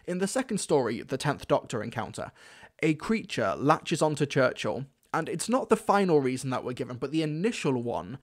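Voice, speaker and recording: A man delivering scripted review commentary, opinionated and wry, with emphatic phrasing. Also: a little high. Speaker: reviewer, host.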